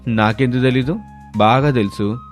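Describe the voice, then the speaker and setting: A man's voice: medium pitch, normal speed, soft background music, lightly acted. A man playing a teasing, suspicious young village husband in a comic story. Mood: mocking.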